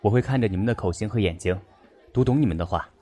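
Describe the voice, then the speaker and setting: Man acting calm, low-voiced young professor. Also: natural voice. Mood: composed authority. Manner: composed, measured. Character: calm young male law professor, deaf, gently authoritative. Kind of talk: Chinese campus radio drama acting.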